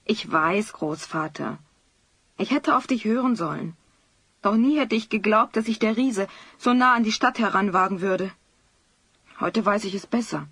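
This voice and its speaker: A woman's voice: medium pitch, moderate pace, a bit bright, husky, no echo. A woman playing a shaken, remorseful young mother in an audio drama, sounding fearful. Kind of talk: German audio drama acting.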